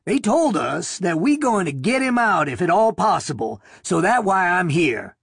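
Male reader doing gruff, sullen, excitable protester, voice lifted and husky. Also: moderate pace, no room sound, studio quality, heavily acted. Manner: gruff, excitable, rises to falsetto. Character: burly gruff sullen man, late thirties.

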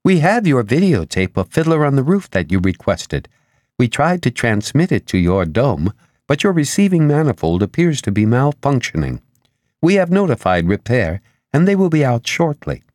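A spaceship switchboard operator in a science fiction audiobook, done by a male narrator. The delivery is polite and officious, a businesslike recital with lively pitch and a dry sound. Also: normal speed, dry recording, natural voice. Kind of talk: audiobook character dialogue.

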